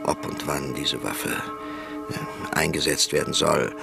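An actor playing an old, cautious parliamentarian professor in a radio drama, formal and hesitant with frequent pauses. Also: male voice, extremely low pitch, creaky, music in the background, heavily acted. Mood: earnest. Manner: formal, hesitant with ähs, pleading. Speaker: parliamentary deputy.

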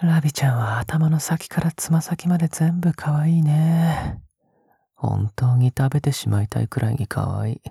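Breathy, sickly-sweet possessive young man, acted by a man. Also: fairly high pitch, moderate pace, dry recording, clean studio sound, heavily acted. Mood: doting, obsessive. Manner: soft, coaxing, often dropping to a breathy whisper. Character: possessive, sickly-sweet young male captor doting on a pet-like girl. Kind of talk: intimate audio drama acting, spoken to the listener.

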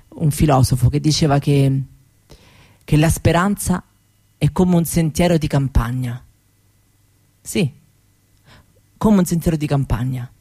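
A woman performing a first-person theatrical monologue in a reflective, unhurried manner with deliberate pauses. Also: low-pitched, gravelly, dark tone, slightly rough, dry recording. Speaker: author and stage performer.

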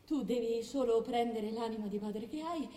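A woman's voice: on the high side, normal speed, dark tone, natural voice. An actress playing a scheming queen who relentlessly goads in a theatrical, insistent way, repeating her phrases. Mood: cold, insistent. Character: scheming queen, mother plotting against her own son, relentless. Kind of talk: theatrical stage drama acting. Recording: distant, echoey hall with background noise.

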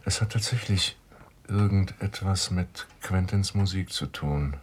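An actor playing a serious, determined writer in a German radio drama, speaking in a measured way. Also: male voice, low-pitched, a little hiss or hum. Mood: uneasy. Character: determined male writer searching for his brother.

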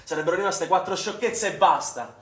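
An indignant young male swimming instructor under accusation, with a high voice for a man. He is defensive, wounded and heated in naturalistic theatre dialogue, and the room rings with echo. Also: animated, fairly quick, a bit bright. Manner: defensive, heated, foul-mouthed. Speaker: stage actor.

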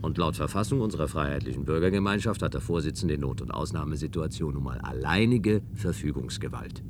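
Ein Schauspieler spielt im Hörspiel einen gewandten, flapsigen Regierungsreferenten, der höflich und ironisch plaudert. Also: male voice, a bass voice, expressive, quick pace. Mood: explaining. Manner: breezy, ironic, chatty. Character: glib, flippant government aide, courteous and teasing. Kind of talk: German radio play acting. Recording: radio play with clear background noise.

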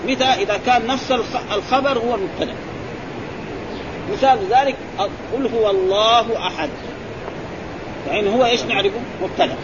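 Brisk high-voiced sheikh quizzing students. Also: male voice. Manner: quizzing, prompting with repeated "eh?" and "ha?". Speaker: sheikh teaching Arabic grammar. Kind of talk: classroom explanation with questions to students. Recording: muffled recording with crowd and traffic noise.

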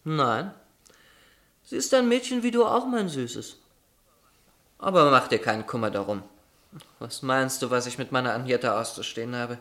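A man's voice: mid-range voice, animated, moderate pace, pauses often, a bit bright, lightly acted. An actor playing a teasing, affectionate young man, an easygoing brother with a chatty, wry manner in a radio play. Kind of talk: German radio play acting.

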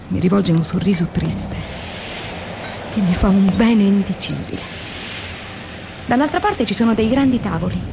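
A woman's voice: medium pitch, lightly acted. Actress as intense woman factory worker, noisy recording. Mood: observant, tender. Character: earnest, weary woman factory worker recounting her labour. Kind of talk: dramatic radio monologue of diary and letters. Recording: noisy radio drama with background ambience.

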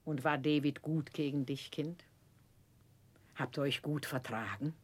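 An actress playing a gruff, impatient middle-aged aunt in a radio drama, with curt, snapping speech and a husky voice. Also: female voice, deep voice, a little slow. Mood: stern inquiry. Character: brusque, imperious, impatient eccentric aunt. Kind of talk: 1960s German radio drama acting.

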